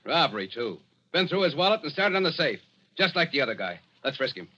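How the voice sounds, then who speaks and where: Sharp, animated old-radio cop. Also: male voice, a little high, fast, heavily acted. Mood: sardonic, stern. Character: brisk, folksy, sardonic male police officer. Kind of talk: 1940s radio drama acting. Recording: dull, noisy vintage 1940s radio recording.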